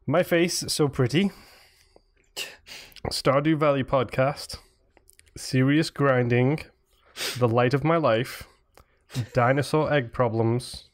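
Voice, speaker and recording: Casual man co-hosting a podcast. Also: medium pitch. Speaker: podcast co-host.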